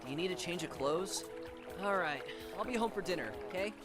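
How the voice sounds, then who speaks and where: A man's voice: high-pitched, lively intonation, brisk, pitched above the performer's own voice. A man voicing a brash, exasperated, casual young friend in a visual novel. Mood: brisk. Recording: music clearly behind the voice.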